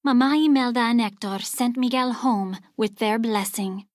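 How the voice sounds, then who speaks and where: A woman narrating a children's storybook aloud in an expressive, scripted read-along style. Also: fairly high pitch, moderate pace, dry recording, studio quality.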